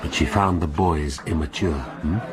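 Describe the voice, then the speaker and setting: A deep-voiced brusque police inspector. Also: male voice, moderate pace, a crowd in the background. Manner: brusque, probing, sardonic.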